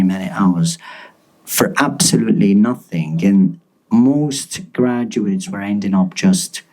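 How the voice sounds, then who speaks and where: A man's voice: low voice, warm tone, low-quality recording. A man in his twenties from Gaza, a guest journalist speaking earnestly at a live panel, often repeating words as he searches for them. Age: 20s.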